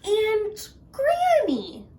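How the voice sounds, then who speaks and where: A woman voicing a sweet, curious young girl from a children's storybook, with drawn-out wonder in her words. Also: extremely high pitch, huge pitch swings, pitched above the performer's own voice, full character voice. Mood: surprised. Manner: bright exclamations, careful drawn-out wonder.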